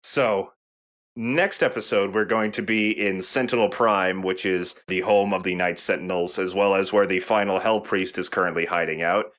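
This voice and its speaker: A man's voice: low voice, fairly even intonation, normal speed, fairly bright tone. A man hosting a let's play, explaining the game casually with joking asides and some swearing. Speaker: let's play host and player.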